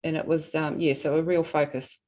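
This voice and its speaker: A woman with a New Zealand accent and a fairly low voice presents at a formal hearing. She feels her way through the points, often stopping to rephrase. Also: level tone, mellow. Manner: halting, hesitant delivery with frequent self-corrections. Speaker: regional council representative presenting.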